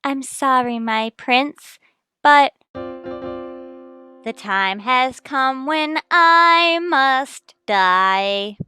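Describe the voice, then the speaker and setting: A woman playing a high, bright, melodramatic daughter. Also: lively intonation, very slow, clear, resonant tone, soft background music, full character voice. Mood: mock-tragic. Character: ditzy young woman, the bar owner's daughter. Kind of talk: old-time radio comedy re-creation.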